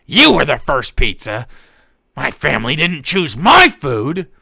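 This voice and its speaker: A man's voice: fairly high pitch, huge pitch swings, measured pace, vocal fry, bright, forceful tone, slightly rough, no echo, clean studio sound, heavily acted. A man voicing a scheming bearded male villain who mutters menacingly, sometimes through gritted teeth.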